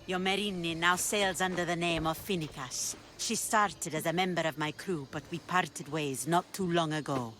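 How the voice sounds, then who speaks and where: A woman's voice: lowish voice, moderate pace, few pauses, fairly bright tone, slight background noise, natural voice. A woman playing a self-assured, wry pirate captain of ancient Greece in a video game. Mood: wry, businesslike. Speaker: game character. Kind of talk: video game cutscene acting.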